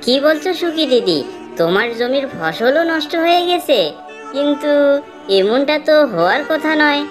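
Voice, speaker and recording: Woman as a high-voiced earnest little tailorbird. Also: animated, measured pace, over music. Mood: troubled. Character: kindly little female tailorbird, earnest and concerned. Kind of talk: cartoon character dialogue.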